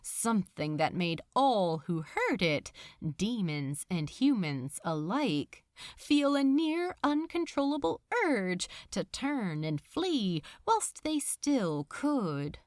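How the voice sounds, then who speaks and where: A woman reading a story aloud as a dramatic narrator, in deliberate, measured phrases with pauses. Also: medium pitch, very animated, darkish tone, dry recording, studio quality. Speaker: fan fiction narrator.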